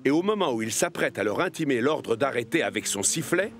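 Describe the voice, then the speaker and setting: A man's voice: medium pitch, big pitch swings, brisk, noisy background. A man narrating a scripted children's cartoon story. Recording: music behind the voice.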